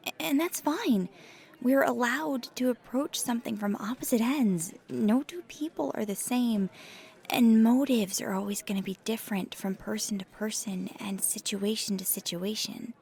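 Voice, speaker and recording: A woman playing a nervous, earnest paranormal investigator in an ASMR audio roleplay, speaking softly and haltingly with thoughtful, breathy hesitations. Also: on the high side. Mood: careful, earnest.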